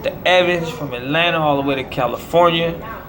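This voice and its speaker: A man's voice: on the high side, fairly quick, crowd noise. An American man delivering a rambling monologue in short, declamatory, preacher-like phrases. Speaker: self-promoting musician.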